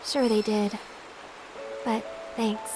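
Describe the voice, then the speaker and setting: High-voiced, candid young woman. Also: little pitch movement, very slow, noisy background. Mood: regretful, wistful. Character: candid, warm-hearted young woman, wistful and gently teasing. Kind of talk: English-language video game voice acting.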